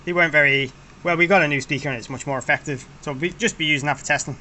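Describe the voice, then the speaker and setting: A man's voice: fairly high pitch, racing, sharp tone, a little hiss or hum. A man giving an informal technical walkthrough as a product developer, rambling and correcting himself as he goes. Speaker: product developer presenting a demo.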